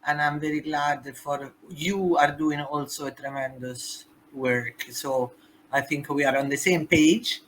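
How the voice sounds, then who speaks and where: A woman's voice: deep voice, steady tone, measured pace, slight background noise, low-quality recording. A woman from Italy with an Italian accent, speaking English as a second language with emphatic, non-native phrasing as a guest in a podcast interview. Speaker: guest, human rights activist.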